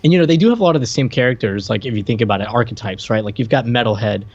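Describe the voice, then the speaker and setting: A man's voice: medium pitch, very fast, a little mellow, dry recording, lo-fi sound. A man co-hosting a podcast, chatting casually about pop culture in a rambling way, repeating words as he thinks aloud. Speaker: podcast co-host.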